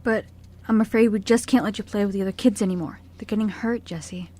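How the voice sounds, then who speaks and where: A medium-pitched, soft-hearted orphanage worker, played by a woman. She sounds reluctant and apologetic, a kindly figure in a radio play. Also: quick pace, lightly acted. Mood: sad, apologetic. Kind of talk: amateur radio play acting.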